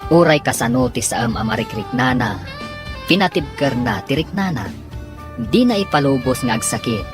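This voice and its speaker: Radio drama announcer guy, dramatic narration, medium pitch, music playing behind. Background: music under the voice.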